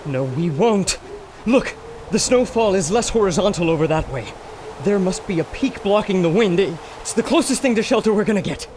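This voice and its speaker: An actor playing a resolute, level-headed expedition leader, earnest and dramatic in a pulp radio adventure. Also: male voice, sits high, expressive, moderate pace. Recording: loud storm and ambient noise.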